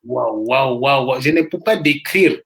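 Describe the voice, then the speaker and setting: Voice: medium pitch, unhurried, a bit bright. A co-host of a church live stream, speaking with eager exclamations in a preachy way. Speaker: co-host of a livestream. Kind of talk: casual live-stream chat.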